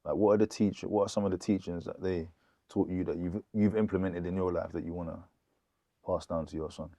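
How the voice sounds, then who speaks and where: A man's voice: deep voice, fairly even intonation, brisk, mellow, dry recording, dull audio. A man with an urban British accent interviewing someone casually, with informal slang and affirming remarks.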